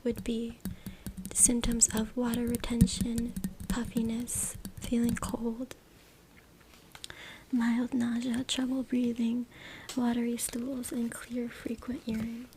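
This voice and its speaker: Whispering woman, breathy ASMR reading. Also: fairly high pitch, monotone, slow. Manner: hushed, informal. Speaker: ASMR creator. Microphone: close USB microphone. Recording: noisy USB mic with clicks, tapping. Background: tapping, scratching, clicks.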